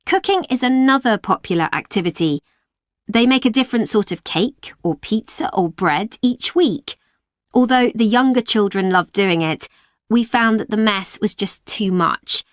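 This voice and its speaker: An actress playing a woman who runs an after-school club, explaining its activities to parents in a clear, measured way. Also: mid-range voice, dry recording, studio quality, natural voice. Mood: informative. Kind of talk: scripted exam recording.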